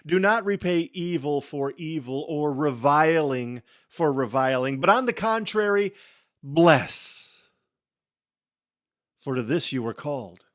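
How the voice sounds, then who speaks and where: A man giving a dramatic scripture reading in a solemn, emphatic way, with deliberate pauses and phrases that fall away softly. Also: fairly high pitch, animated, no echo, studio quality. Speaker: scripture reader.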